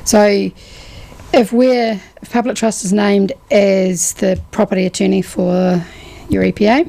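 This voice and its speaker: A woman, speaking as a guest on a local radio chat. Her voice is warm and mellow with a noticeable gravelly fry. She explains things patiently, stopping often on filler sounds. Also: mid-range voice, steady tone, unhurried, low-quality recording. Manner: explanatory, uses many fillers. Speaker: guest from a trust organisation. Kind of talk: explaining services in a radio interview.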